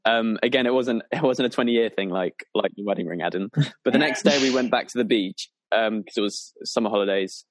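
A man's voice: fairly low pitch, brisk, a little hiss or hum. Young man, hesitant podcast co-host. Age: young adult. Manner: hesitant, self-correcting storyteller. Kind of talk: casual podcast chat.

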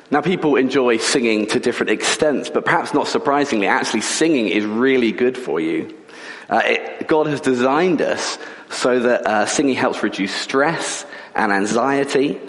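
A man preaching in a church, croaky from a cold and often clearing his throat. His chatty, joking sermon carries a long reverb from the hall around him. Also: mid-range voice. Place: church building.

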